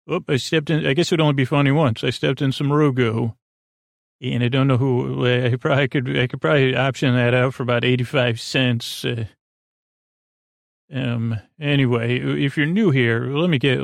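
A man hosting a bedtime podcast, talking in a meandering, halting, repetitive way that trails off into tangents, with a creaky voice. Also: medium pitch, no echo, studio quality. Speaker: podcast host. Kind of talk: rambling bedtime podcast monologue.

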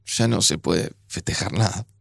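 A grieving man in a near-future audio drama. His voice is low and very creaky, with a Rioplatense accent. He speaks hesitantly and with resignation, recorded close and dry. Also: normal speed, no echo, heavily acted. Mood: grieving, subdued. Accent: Rioplatense Argentine. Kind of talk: naturalistic audio drama dialogue.

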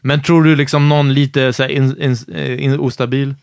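Podcast guy asking questions, jokey, mid pitch, dry close sound. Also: little pitch movement, a little fast, vocal fry, no echo. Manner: curious, joking interjections. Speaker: podcast co-host. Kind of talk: casual chat, asking questions.

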